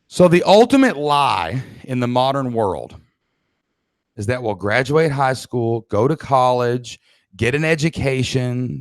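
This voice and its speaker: A man's voice: mid-range voice, dry recording, clean studio sound. A man from Kentucky with a Southern accent, presenting a live online business training as a coach in a folksy, emphatic, motivational way. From Kentucky, United States.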